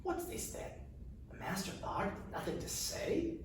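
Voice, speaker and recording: A man's voice: sits high, big pitch swings, measured pace, pitched above the performer's own voice. Man acting a young fantasy gate guard, jeering, insolent, mocking, very echoey, distant mic. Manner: taunting, mocking. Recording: distant mic, very echoey room, faint noise.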